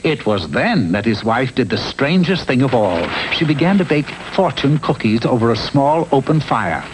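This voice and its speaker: A man narrating a cartoon fairy tale in a measured, theatrical, tongue-in-cheek storybook style. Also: medium pitch, sing-song, natural voice. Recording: noisy old TV cartoon soundtrack.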